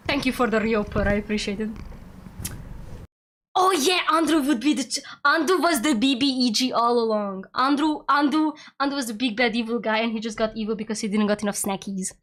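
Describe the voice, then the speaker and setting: Giggly young woman streamer. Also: fairly high pitch. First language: Hungarian. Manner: giggly, rambling, talks in quick excited bursts. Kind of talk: casual chat with viewers during a game stream.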